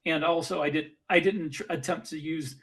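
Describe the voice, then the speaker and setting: A man's voice: a little high, steady tone, moderate pace, fairly bright tone. A man in a casual podcast discussion, explaining things and giving advice in a conversational way. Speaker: podcast host and author.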